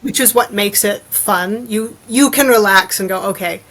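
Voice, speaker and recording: A woman's voice: mid-range voice, moderate pace, fairly bright tone. A woman talking casually as a podcast guest, informal and given to loose run-on asides. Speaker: interview guest, adventure fiction author.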